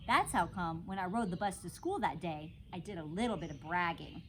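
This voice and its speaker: A woman's voice: fairly low pitch, animated, a little fast, fairly bright tone, a little hiss or hum. A woman reading a children's book aloud as a storytime reader.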